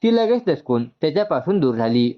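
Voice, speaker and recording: A man's voice: fairly high pitch, very animated, fairly quick, muffled recording. A man narrating an emotional story from a script.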